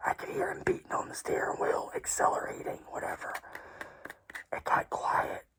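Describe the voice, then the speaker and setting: A woman whispering a personal story in a hushed, tearful, casual way, swearing freely. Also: normal speed.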